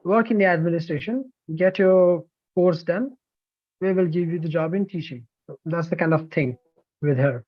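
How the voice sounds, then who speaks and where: A man's voice: on the high side, moderate pace, no room sound, dull audio. A man presenting a course over a video call, talkative, with many fillers and restarts. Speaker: course counselor presenting online. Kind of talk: online sales presentation.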